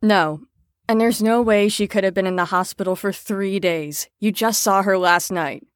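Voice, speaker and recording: A woman's voice: mid-range voice, normal speed, no echo, natural voice. A woman in an audio drama playing a firm carer on a patient ward, with a dry, exasperated manner. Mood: dry, teasing.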